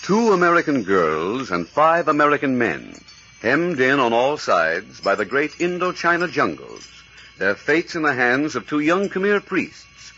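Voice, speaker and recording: Bright, dramatic vintage radio narrator. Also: male voice, mid-range voice, slight background noise. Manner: portentous, declamatory. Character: dramatic announcer-narrator of adventure serial. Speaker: narrator and announcer. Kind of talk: 1940s radio scripted narration. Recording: muffled vintage 1940s radio recording.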